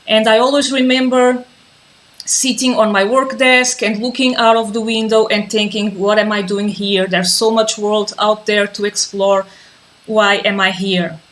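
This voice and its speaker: Calm woman teaching a live class. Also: medium pitch, a little slow, a little hiss or hum. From Azores, Portugal. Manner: calm, patient, repeats herself while waiting for viewers. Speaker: yoga and mindfulness teacher. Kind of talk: live-streamed class introduction.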